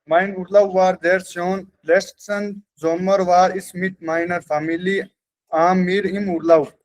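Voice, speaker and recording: A male language student with a high-pitched voice gives a prepared talk. He speaks slowly and carefully, tripping on longer words. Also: flat delivery. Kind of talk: prepared class presentation.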